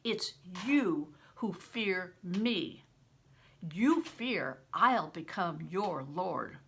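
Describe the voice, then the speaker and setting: A woman's voice: lowish voice, lively intonation, very slow, a bit bright, slightly rough, heavily acted. An older woman reading aloud as a defiant young peasant boy, speaking earnestly and firmly.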